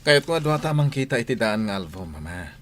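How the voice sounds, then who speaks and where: A coaxing young master played by a man speaks to his mother in radio drama style. His voice is medium pitched, with faint noise behind it. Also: big pitch swings, fairly quick, a bit bright. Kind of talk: Ilocano radio comedy drama acting.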